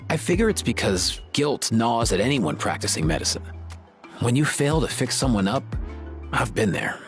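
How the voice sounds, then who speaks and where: An easygoing young vet from a video game. His speech is animated and full of casual bro slang, friendly yet earnest about his work. Music plays behind the voice. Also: mid-range voice, normal speed. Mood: relaxed, earnest. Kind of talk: video game character dialogue.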